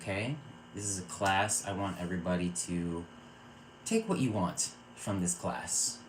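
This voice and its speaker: A man from Virginia hosting a spiritual live stream, talking earnestly and calming his viewers with a repeated "okay". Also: low-pitched, a little slow, a little hiss or hum. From Virginia, USA. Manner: earnest, repeats words and restarts phrases. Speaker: psychic circle host. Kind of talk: live-stream spiritual talk answering chat.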